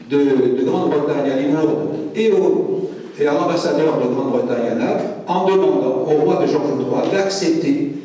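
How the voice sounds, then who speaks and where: Lecturer, male, Corsican first language, mellow voice recorded from afar in a reverberant hall. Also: fairly high pitch. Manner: conversational, frequent "hein?" asides. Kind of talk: public history lecture. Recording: echoey hall, mic far off.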